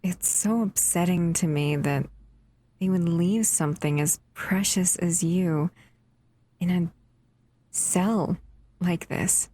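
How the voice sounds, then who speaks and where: Breathy, unhurried doting monster played by a woman, soft and whispery; low-set female voice, possessive and sweet. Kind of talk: audio roleplay.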